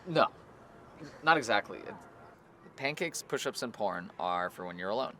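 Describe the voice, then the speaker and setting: Sardonic low-voiced man, very expressive, noisy. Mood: wry, friendly. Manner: dry, teasing, halting. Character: sardonic, heartbroken man, teasing and sulky. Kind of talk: naturalistic comedy series acting, conversational. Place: outdoors in a park. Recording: noisy outdoor ambience, traffic hum.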